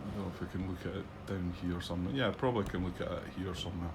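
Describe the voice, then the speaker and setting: A middle-aged Scottish man with a somewhat low voice chats through his board wargame thoughts. He rambles and hesitates, full of ums and false starts, with a soft background hiss. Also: racing. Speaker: board wargame channel host. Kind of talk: casual commentary on a solo board game playthrough.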